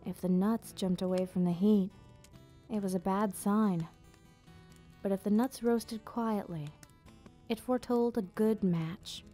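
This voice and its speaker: Soft, mellow woman playing a gentle vampire hostess. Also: medium pitch, steady tone, natural voice. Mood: gentle, explaining. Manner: gentle, unhurried explaining, slightly formal wording. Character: gentle, nostalgic female vampire hostess of an old castle. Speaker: ASMR roleplay performer. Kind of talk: ASMR roleplay, soft storytelling. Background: background music.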